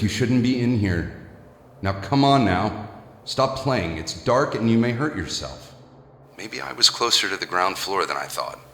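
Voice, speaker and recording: An actor playing a middle-aged business boss, spooked and rambling in a folksy, halting way, in an audio horror drama. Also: male voice, sits low, sharp tone, heavily acted. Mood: anxious, pleading, then wry, bitter. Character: spooked, rambling male business boss recounting his ordeal.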